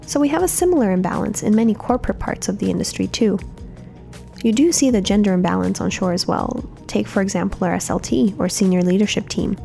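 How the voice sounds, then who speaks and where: Podcast host lady, friendly scripted read, warm low tone, bit of vocal fry. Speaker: podcast host from a communications team.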